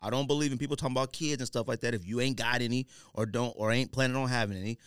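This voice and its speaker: A man's voice: mid-range voice, rapid-fire, smooth, dry recording, studio quality. A man in his late thirties chatting casually as a podcast host, telling stories in an emphatic, slangy way. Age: late 30s. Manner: animated storytelling, slangy, emphatic.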